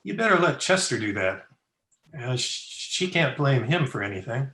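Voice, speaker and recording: A man's voice: mid-range voice, big pitch swings, normal speed, bright, forceful tone. A man playing a concerned, plain-talking frontier town doctor in a radio western. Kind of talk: old-time radio western acting. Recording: roomy, echoing home video-call recording.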